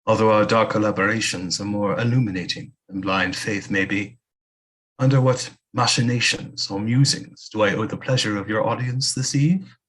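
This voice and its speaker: An actor playing a flattering, theatrical male seer and physician to a queen in an audio play, speaking in a grand, courtly, dramatic manner. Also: fairly low pitch, moderate pace, a little hiss or hum, close to an everyday voice, low-quality recording.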